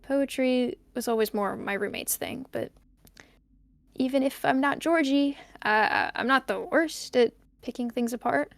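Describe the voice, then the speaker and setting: A woman's voice: sits high, gravelly, no room sound. A young woman playing a lonely, bookish student recording a hesitant, reflective audio diary for an audio drama. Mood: wistful, self-conscious. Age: young adult. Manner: halting, reflective, trailing off. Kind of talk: intimate audio diary monologue.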